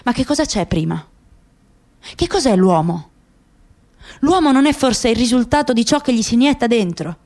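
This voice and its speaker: A woman voicing a grieving, bitter middle-aged father in a radio play, speaking in measured, rhetorical phrases. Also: on the high side, very animated, a little mellow. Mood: calm, reproachful.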